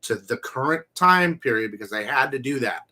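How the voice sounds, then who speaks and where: A man's voice: medium pitch, expressive, brisk, sharp tone. Slangy, casual man hosting a review. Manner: loose, colloquial, slangy. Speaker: host of a live movie review show. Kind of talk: casual unscripted film discussion.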